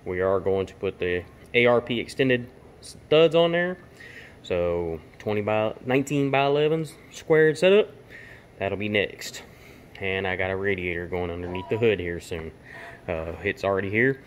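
A man's voice: deep voice, lively intonation, normal speed, slight background noise. A man giving a rambling, informal walkaround tour of his home garage workshop, speaking into a handheld camera. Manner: rambling, informal, with fillers and restarts. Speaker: garage vlogger.

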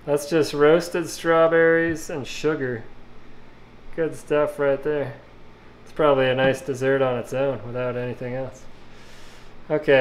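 Middle-aged man, slightly high voice, relaxed home cooking vlog, kitchen clatter behind. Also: slight background noise, poor audio. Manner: relaxed, talks through each step while working. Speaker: home cook vlogger. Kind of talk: casual cooking demo.

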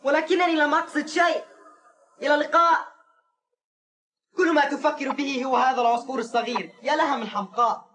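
A woman's voice: high voice, steady tone, fairly quick, long pauses, a bit bright. A woman dubbing a cheeky, teasing young goatherd boy in a cartoon. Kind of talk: Arabic cartoon dub.

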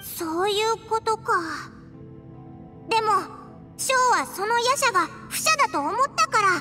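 A woman voicing a tiny, cute, curious fairy companion in a Japanese game, with a squeaky, childlike, blunt way of talking. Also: lively intonation, moderate pace. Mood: curious, puzzled. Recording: long reverb, music behind.

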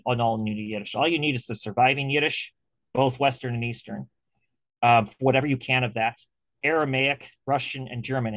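Guy hosting a video call interview, animated, lots of restarts, muffled dry audio. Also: mid-range voice, no echo. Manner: animated, with many restarts and fillers. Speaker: interview host. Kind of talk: casual video call conversation.

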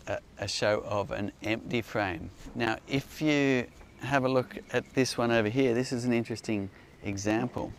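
A man's voice: lowish voice, gravelly. A man in his forties hosting an outdoor beekeeping Q&A, explaining with enthusiasm while he demonstrates in a hillside garden. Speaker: beekeeping company host. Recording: faint outdoor noise.

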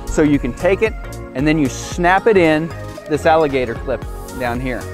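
A man in his forties talks through a hands-on product demonstration. His voice sits a little higher than average, and he gives plain instructions in short, casual phrases. Music plays clearly behind him. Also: lively intonation, normal speed.

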